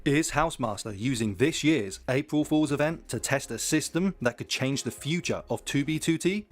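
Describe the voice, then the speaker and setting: A man's voice: mid-range voice, lively intonation, moderate pace. A man reading scripted explainer narration for an online video. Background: background music.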